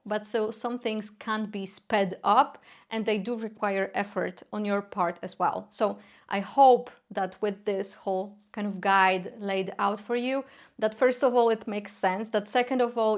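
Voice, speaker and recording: A woman's voice: medium pitch, gravelly. A young woman from Poland with a Polish accent, Polish her native tongue, earnestly explaining folk practice in a casual talk with many filler sounds. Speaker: folk magic educator.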